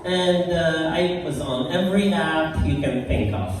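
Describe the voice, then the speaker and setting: A man's voice: on the high side, animated, over music. An older man from Iraq with an Iraqi accent, performing a self-deprecating stand-up routine in a bar and chuckling through his punchlines. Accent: Iraqi Arabic. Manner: self-deprecating, unhurried punchlines with laughing asides. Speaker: stand-up comedian. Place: bar stage. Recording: echoey bar from far off.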